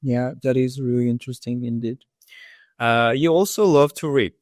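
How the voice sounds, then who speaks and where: Smooth-voiced male podcast host. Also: mid-range voice, moderate pace, darkish tone, dry recording, studio quality. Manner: hesitant, frequent "uh" fillers. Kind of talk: interview questions.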